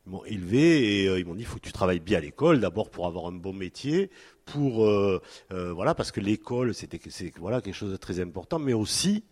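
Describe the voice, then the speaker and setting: A man's voice: sits low, big pitch swings, a little mellow. Hesitant man in an echoing hall. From France. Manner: halting, many fillers and restarts. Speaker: panel speaker. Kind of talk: hesitant spoken talk at a public panel. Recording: long hall reverb.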